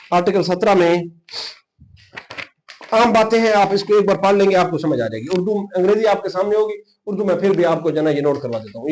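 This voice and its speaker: A man's voice: fairly high pitch, a little mellow, low-quality recording. A man with a Pakistani accent teaching informally, explaining and dictating notes, repeating key terms and mixing in English technical words. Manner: mixes English legal terms into Urdu speech. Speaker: teacher of a law exam-prep class.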